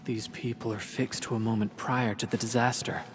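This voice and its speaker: An actor playing a steady, matter-of-fact male companion in a video game. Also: medium pitch, normal speed, lightly acted. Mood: grave. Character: steady, reassuring male companion in grim journey. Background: background music.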